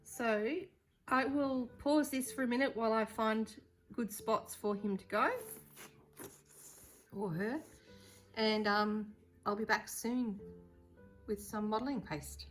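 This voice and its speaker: Slow, chatty woman with a croaky voice. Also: on the high side, fairly even intonation, faint music behind. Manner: chatty, unhurried, thinking aloud as she works. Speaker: art tutorial host. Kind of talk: casual painting tutorial talk.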